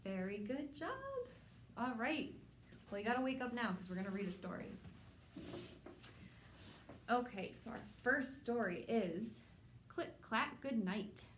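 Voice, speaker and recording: A woman hosting a children's storytime, reading a picture book aloud in simple, measured phrases addressed to young children. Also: on the high side, expressive, a little hiss or hum. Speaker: children's storytime host at a public library.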